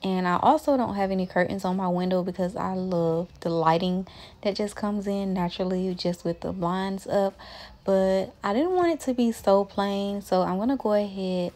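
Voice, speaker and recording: A young woman narrating her cleaning routine in a relaxed, chatty way, with many casual fillers. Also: medium pitch, normal speed. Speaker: lifestyle vlogger.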